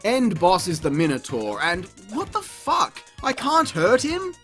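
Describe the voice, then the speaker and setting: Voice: medium pitch, huge pitch swings, measured pace, fairly bright tone, faint music behind. A game reviewer narrating a scripted comedic review in an exasperated, sarcastic, sweary ranting manner. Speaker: video game reviewer, channel host.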